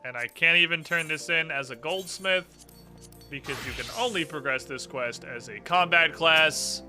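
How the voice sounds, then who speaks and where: A man's voice: on the high side, normal speed, sharp tone, soft background music. A man streaming a game live, improvising commentary in a sarcastic, sweary way.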